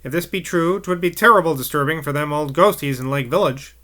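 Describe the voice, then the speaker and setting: A man's voice: on the high side, a little fast, bright, forceful tone. A man voicing a grumpy old rural man who growls in a thick country dialect. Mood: storytelling. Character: grumpy old rustic man, ogre-like mutterer.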